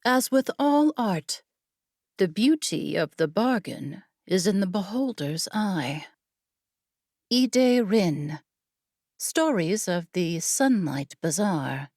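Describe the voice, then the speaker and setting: A woman narrates fiction with a highly varied pitch and an unhurried pace. Her storytelling is measured and expressive, in a very dry recording. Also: no room sound. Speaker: audiobook narrator.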